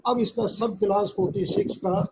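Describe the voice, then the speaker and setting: A man with a Pakistani accent lecturing on law, reading formal text aloud and explaining it in a deliberate, halting way. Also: high voice, steady tone, creaky, very noisy. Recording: dull, lo-fi recording.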